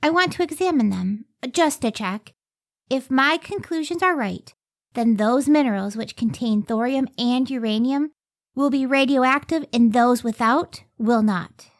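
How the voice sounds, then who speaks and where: Woman as earnest, high-voiced lady scientist. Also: measured pace, no echo, clean studio sound. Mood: excited, earnest. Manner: earnest, careful, emotive delivery. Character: earnest, modest, devoted woman scientist and wife. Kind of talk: old-time radio drama acting.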